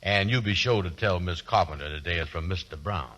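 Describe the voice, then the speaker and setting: A boastful, slow-witted working man in love, in a 1940s radio comedy. The voice sits low and drawls in a broad, folksy comic dialect, full of self-satisfaction and bashful longing. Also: a bit bright, muffled recording. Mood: easygoing.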